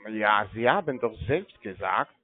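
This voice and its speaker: A man with a foreign accent on a sales call, speaking hesitantly in halting non-native phrasing. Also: on the low side, animated. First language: not native German speaker. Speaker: cold-calling online trading agent. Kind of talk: unscripted phone sales call. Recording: tinny narrow phone line.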